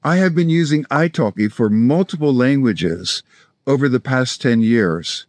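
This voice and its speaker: A man in his sixties from South Florida with an American accent, presenting scripted language-learning instruction in a measured, explanatory way. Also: mid-range voice, expressive, a little mellow, dry recording. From South Florida, United States. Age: 60s. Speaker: language-learning video host, polyglot. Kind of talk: scripted instructional narration to camera.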